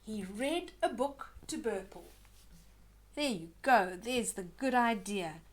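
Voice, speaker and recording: A woman's voice: medium pitch, expressive, slow pace. An older woman reading a children's story aloud, expressively, with chatty asides to young listeners.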